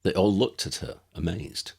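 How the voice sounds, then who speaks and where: A man's voice: deep voice, normal speed, creaky, darkish tone, breathy edge. A man reading scripted fiction aloud as an audiobook narrator.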